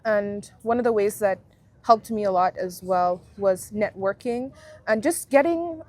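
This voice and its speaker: A woman in her twenties from Guyana, a university alumna, talking through her experiences in a relaxed, reflective way as an interview guest. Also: medium pitch, a little slow. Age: 20s. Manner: reflective, friendly, thinks aloud with fillers. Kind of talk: casual interview chat.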